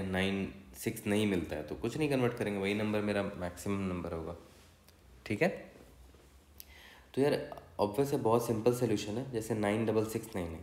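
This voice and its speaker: Low-voiced Indian man tutoring, echoey room. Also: darkish tone. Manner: informal, conversational, frequent check-in tags. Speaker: coding tutor. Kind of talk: casual tutorial explanation. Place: indoor room, voice-over for screen recording. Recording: roomy, echoey voice recording.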